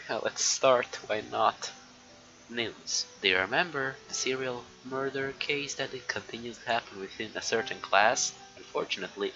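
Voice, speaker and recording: Bright-voiced male game streamer, dull lo-fi mic. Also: mid-range voice. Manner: swears casually, talks to chat. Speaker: live streamer. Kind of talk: casual game stream commentary, reading visual novel text aloud. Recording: dull lo-fi mic with background noise.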